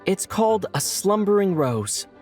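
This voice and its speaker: Lilting, caring game heroine. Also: female voice, medium pitch, sing-song, a little slow, darkish tone, soft background music. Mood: proud, gentle. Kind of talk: scripted video game dialogue.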